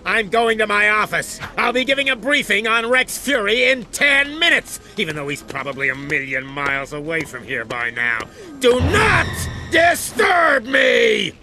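High-pitched blustering police chief. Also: male voice, expressive, normal speed, hardly any pauses, sharp tone, soft background music, exaggerated voice. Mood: grumbling, irritated. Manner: blustering, barking orders. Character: blustering, irritable, self-important male police chief. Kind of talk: animated comedy game acting.

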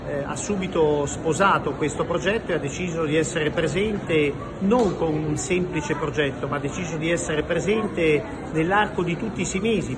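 A man in his fifties from Italy speaks in a fairly high voice, delivering a formal official statement to camera. His delivery is measured and repeats phrases for emphasis. Clear ambient noise from a busy exhibition hall surrounds him. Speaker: regional government representative. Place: large indoor exhibition pavilion.

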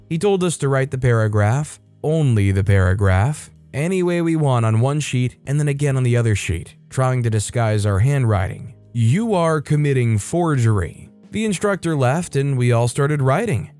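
A man reading out online stories as a narrator, in a steady, matter-of-fact way with light asides of his own. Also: mid-range voice. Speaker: story narrator. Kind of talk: scripted narration of reader stories. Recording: faint background music.

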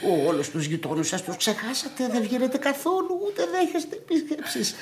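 An actor playing a fussy old widowed manservant in radio theatre, exclaiming and coaxing in a high, thin voice. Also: male voice, huge pitch swings, full character voice. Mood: coaxing, exasperated. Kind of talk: Greek radio theatre acting.

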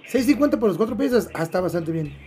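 A man's voice: high voice, lively intonation, fast talker, a loud crowd. A man in his twenties with a Mexican accent, talking casually in crude slang on a prank phone call. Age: 20s. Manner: crude, swearing slang-heavy talk. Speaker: prank caller, streamer. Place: small dark room at home, streaming setup.

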